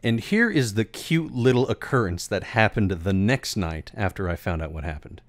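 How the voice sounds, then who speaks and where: A man's voice: fairly low pitch, expressive, moderate pace, no room sound. A man narrating a scripted story for his videos in a theatrical, wordy storytelling manner. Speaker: video creator and voice actor.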